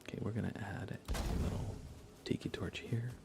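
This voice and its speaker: A man speaking softly and unhurriedly, often half-whispering, as he rambles through a live ASMR gaming stream. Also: on the low side, fairly even intonation, normal speed, vocal fry. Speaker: livestream host. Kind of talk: soft-spoken ASMR game livestream chat.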